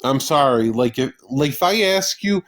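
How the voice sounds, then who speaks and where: A man's voice: mid-range voice, lively intonation, normal speed. A man hosting a livestream, arguing hotly with callers and swearing in exasperation. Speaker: livestream host. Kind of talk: heated livestream debate.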